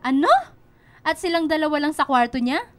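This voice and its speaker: Woman acting stern, high-voiced Filipino mother. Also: a little fast, soft background music, natural voice. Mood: stern, suspicious. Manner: scolding, questioning, maternal. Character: stern but caring working mother. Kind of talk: Tagalog radio drama acting.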